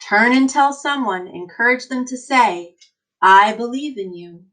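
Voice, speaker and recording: Slow, encouraging woman reading to children. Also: fairly high pitch, animated, a bit bright, rough recording. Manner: encouraging, emphatic, addressing children directly. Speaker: teacher reading a picture book aloud to children. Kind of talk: children's read-aloud with encouraging asides.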